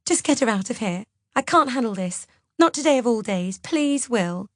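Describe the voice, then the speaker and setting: Woman acting a panicky, tearful young woman, high-pitched. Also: lively intonation, moderate pace, no room sound, studio quality, lightly acted. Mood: sobbing, pleading. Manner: tremulous, gulping, sobbing when on show.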